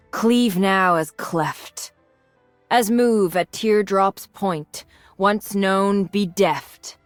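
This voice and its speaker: A woman voicing a mature, resolute and idealistic swordswoman in a video game, composed and earnest in her measured delivery. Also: medium pitch, no echo. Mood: incantatory, resolute. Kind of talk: scripted video game character lines.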